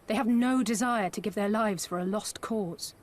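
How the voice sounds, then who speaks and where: An actress playing a composed, courteous young envoy in a video game, polite and articulate. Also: female voice, medium pitch, normal speed, a little hiss or hum, natural voice. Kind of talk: video game drama acting.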